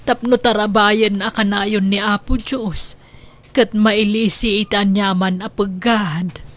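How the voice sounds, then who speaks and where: A woman acting an elderly, devout grandmother in a radio drama, gentle and sighing as she consoles and worries. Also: medium pitch, steady tone, takes it slowly, close to an everyday voice. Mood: wistful, consoling.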